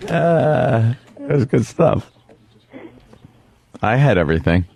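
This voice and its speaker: A man's voice: medium pitch, vocal fry, a little mellow, husky. A man co-hosting a radio show, telling stories in casual, comic banter with salty language.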